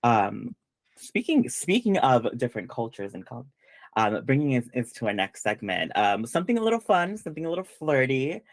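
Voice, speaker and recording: A man's voice: medium pitch, sing-song, moderate pace, no echo. A young man from Peru hosting a casual panel chat, rambling with lots of fillers. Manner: chatty, many fillers and restarts. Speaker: panel host.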